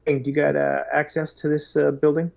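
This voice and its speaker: Mellow man playing a military commander. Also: fairly high pitch, completely flat delivery, creaky. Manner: deliberate, hesitant, military jargon. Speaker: player as military lieutenant. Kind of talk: tabletop roleplay, in and out of character.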